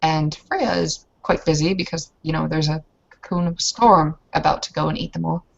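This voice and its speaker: Low-voiced woman setting a game scene. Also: fairly even intonation, normal speed, vocal fry. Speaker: roleplayer. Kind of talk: improvised tabletop game narration. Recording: dull, lo-fi audio.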